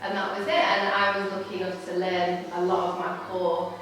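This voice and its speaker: A woman's voice: on the low side, monotone, normal speed, a bit bright. A woman who owns a dance school, chatting in an interview in a room of her school. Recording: echoey hall, mic far off.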